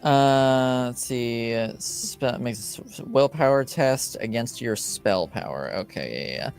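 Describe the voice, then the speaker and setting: Slow, halting man running a game. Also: medium pitch, lively intonation, creaky, a little mellow, faint music behind. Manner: halting, hesitant delivery. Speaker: game master. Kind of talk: improvised narration and rules talk for a tabletop game.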